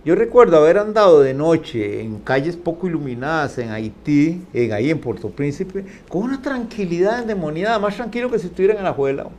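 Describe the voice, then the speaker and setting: Older guy on a panel, lots of eh and restarts, animated pitch, a bit of hiss. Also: fairly quick, few pauses. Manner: hesitant, many restarts and fillers. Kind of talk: casual chat.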